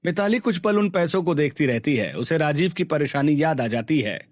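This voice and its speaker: A man's voice: a little high, lively intonation, normal speed, faint music behind. A man narrating a scripted cartoon family story.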